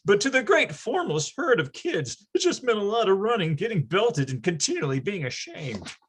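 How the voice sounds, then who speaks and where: Man as high, animated, wry nostalgic narrator. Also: moderate pace, a little hiss or hum. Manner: wry, storytelling, florid emphasis. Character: nostalgic grown man recalling his boyhood, wry and grandiose. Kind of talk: dramatic first-person narration in readers theatre.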